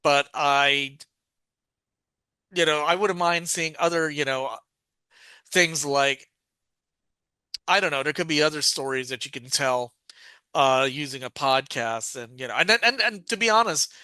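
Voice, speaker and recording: A man hosting a podcast in casual review chat, rambling and haltingly repeating and restarting his phrases. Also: a little high, pushed and bright, smooth, no room sound. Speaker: podcast host.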